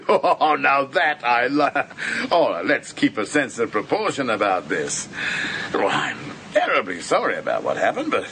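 An actor playing a boorish, self-important, excitable film maker in a radio drama, prattling and brashly dismissive. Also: male voice, mid-range voice, a little slow, exaggerated voice, rough recording. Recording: hissy old radio drama recording.

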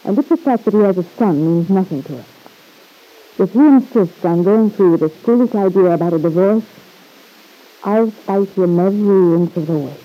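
A woman's voice: mid-range voice, animated, moderate pace, mellow, soft background music, lightly acted. An actress playing a proud, hard-edged young married woman in an old-time radio drama, with cool, sardonic retorts. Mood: defiant, determined. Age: young adult. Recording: dull, lo-fi vintage radio recording.

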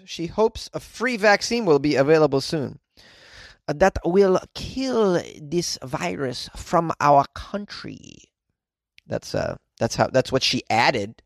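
Male comedy news host, goofy and slangy, very animated delivery, dry sound. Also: fairly high pitch, no echo. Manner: goofy, excitable, jokey, slangy asides. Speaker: host commenting on odd news stories. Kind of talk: casual comedic news commentary, reading aloud and riffing.

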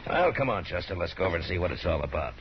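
A man playing a calm, commanding frontier marshal with a dry, unhurried manner in a 1950s radio Western. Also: sits low, loud music behind the voice. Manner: dry, laconic, unhurried authority. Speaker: lead actor. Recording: muffled 1950s radio recording, crowd behind.

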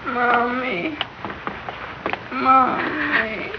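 Weak, tearful little boy, painfully slow. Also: sits high. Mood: weak, pleading. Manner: halting, plaintive. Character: injured, delirious young boy calling for his mother. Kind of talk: 1960s radio drama acting. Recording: dull, hissy old radio recording.

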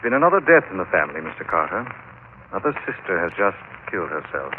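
An actor playing a cool, decisive gentleman sleuth, clipped and probing, in a 1940s radio mystery. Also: sits low, sing-song, fast talker. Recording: tinny, noisy old radio broadcast.

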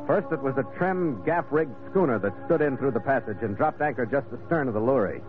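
A man's voice: mid-range voice, lively intonation, fairly quick, close to an everyday voice. An actor playing a wry, terse ship's captain who narrates his adventure in an old-time radio drama. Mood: atmospheric, measured. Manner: terse, dry, hard-boiled. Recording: tinny vintage radio, music behind.

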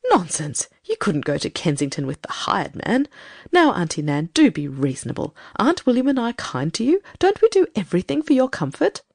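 A woman voicing a bossy, impatient, self-righteous farm wife in a story reading, brisk, scolding and condescending. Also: medium pitch, wildly swooping pitch, darkish tone, no room sound, studio quality, pitched below the performer's own voice.

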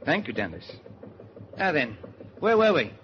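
An actor playing a suave Nazi spy in a 1940s radio drama, formally courteous while coolly threatening. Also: male voice, fairly high pitch, huge pitch swings, measured pace. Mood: smug courtesy. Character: suave, menacing male Nazi spy holding hostages. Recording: muffled, noisy 1949 radio broadcast.

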